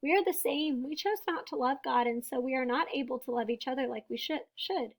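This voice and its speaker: A woman with a high-pitched voice reads a picture book aloud to children. She phrases things deliberately, leaning on important words. She speaks as a teacher would, explaining simply to young listeners. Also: gravelly. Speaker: storybook reader and teacher.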